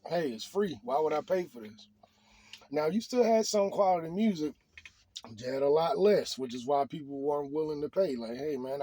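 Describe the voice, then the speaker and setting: A man's voice: on the high side, mellow. A middle-aged man talking off the cuff in an opinion monologue, preachy and emphatic, often repeating his phrases.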